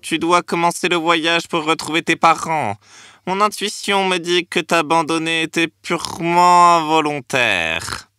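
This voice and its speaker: A man's voice: a little high, unhurried, sharp tone, clean tone, no room sound, clean studio sound. A man playing a kindly, elderly adoptive father in a fairy-tale cartoon, speaking with solemn tenderness. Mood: tender, solemn. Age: older. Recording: music behind the voice.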